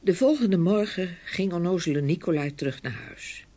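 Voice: mid-range voice, animated, moderate pace, warm tone. A storyteller giving a dramatic scripted narration of a fairy tale. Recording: faint ambient noise behind voice.

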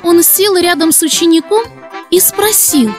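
A woman voicing a gentle little girl puppet who hosts and tells Bible stories to children in a kindly, expressive way. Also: very high voice, unhurried, soft background music. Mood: storytelling. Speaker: host and storyteller.

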